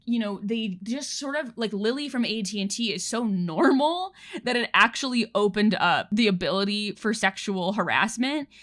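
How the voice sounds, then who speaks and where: A woman co-hosting a podcast in casual chat, animated and full of fillers and restarts. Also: mid-range voice, moderate pace, hardly any pauses. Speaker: podcast co-host.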